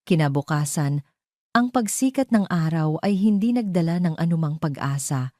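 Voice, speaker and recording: A Filipino-accented woman narrates a romance audio drama. Her voice is low and mellow, recorded very dry. She tells the story in a measured, dramatic way, as a scripted storyteller. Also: animated, no echo.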